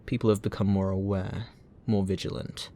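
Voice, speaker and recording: Man acting as obsessive male villain, lowish pitch, gloating, taunting, drawn-out theatrical delivery, roleplay ASMR drama. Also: level tone, mellow, natural voice. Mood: smug, menacing.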